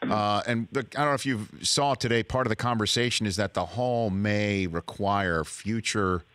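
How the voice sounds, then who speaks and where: Casting: lowish male radio host, easygoing and teasing, dry studio sound. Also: fairly even intonation, moderate pace, fairly bright tone, no room sound. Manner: conversational, teasing. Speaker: sports talk show host. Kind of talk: radio talk show interview.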